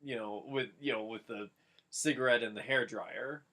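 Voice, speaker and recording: A man's voice: medium pitch, fairly even intonation, normal speed, fairly bright tone. A man chatting casually as a co-commentator, making mock-serious, nitpicking jokes. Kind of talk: casual joking chat.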